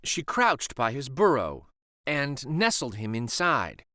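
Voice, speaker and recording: A man hosting a children's read-aloud, narrating a storybook in a slow, expressive and soothing way. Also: mid-range voice, no room sound, clean studio sound.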